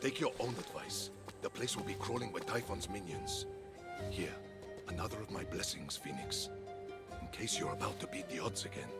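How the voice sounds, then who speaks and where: An actor playing a humbled war god in a video game, glum but supportive, with a self-deprecating, dramatic manner. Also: male voice, sits low, animated, normal speed, creaky. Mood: grave, supportive. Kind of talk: video game cutscene acting. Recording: loud game music behind.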